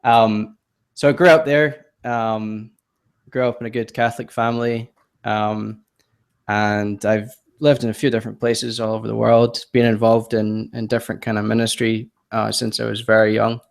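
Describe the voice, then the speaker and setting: A man in his thirties from Scotland, his accent softened by years in the US. He is a podcast guest telling his story in casual chat, hesitant and self-deprecating, with plenty of ums and restarts. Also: fairly low pitch, little pitch movement, moderate pace, creaky, smooth. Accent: Scottish, softened by years in the US.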